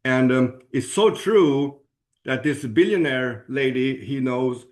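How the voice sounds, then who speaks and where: Halting Swedish-accented man presenting. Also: medium pitch, a little mellow. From Sweden. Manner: halting, searching for words, with frequent throat clearing. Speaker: presenter at a business opportunity webinar. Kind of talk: informal promotional presentation.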